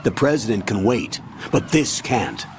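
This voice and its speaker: An actor playing a stern, authoritative older military commander in a video game, giving a measured, commanding briefing. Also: male voice, on the low side, big pitch swings, slight background noise. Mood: brisk, stern.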